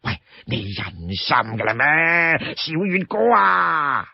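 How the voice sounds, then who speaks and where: A man's voice: fairly high pitch, sing-song, slow, gravelly, no room sound, full character voice. A man voicing a boisterous, wheedling outlaw warrior in a storybook tale, pleading and exclaiming as he talks. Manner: pleading, exclamatory, stammers when cornered. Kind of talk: Cantonese storytelling character voice. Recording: dull, close dry studio sound.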